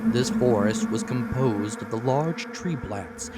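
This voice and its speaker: A man's voice: mid-range voice, slow, very noisy. A man narrating a classic story as a dramatic reading, with theatrical, emphatic phrasing. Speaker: audiobook narrator.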